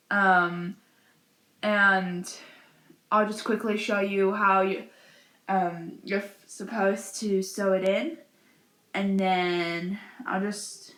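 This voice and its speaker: A young woman with a hoarse voice from a cold, casually talking through a craft tutorial from her bedroom. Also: mid-range voice, a bit bright. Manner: slow, rambling, many fillers. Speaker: cosplay vlogger. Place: bedroom at home.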